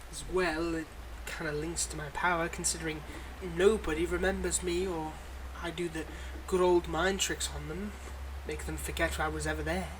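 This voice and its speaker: A man's voice: fairly high pitch, little pitch movement, normal speed, few pauses, background noise, natural voice. A man playing a weary, wry supervillain with a secretly kind side, talking conversationally and a little hesitantly in an intimate roleplay audio monologue. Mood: wry, earnest.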